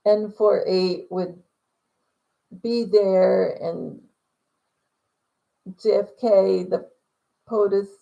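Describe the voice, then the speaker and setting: A woman with a quiet, mellow voice chats slowly on her livestream, circling back with lots of fillers and restarts. There's some gravel in her tone as she answers questions from viewers. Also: medium pitch, highs cut off. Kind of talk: casual livestream chat. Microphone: phone.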